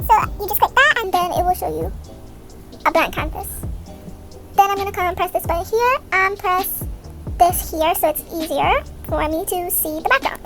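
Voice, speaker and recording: A young woman giving a casual step-by-step tutorial voice-over as the host of her own video. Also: very high-pitched. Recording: voice over background music. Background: background music.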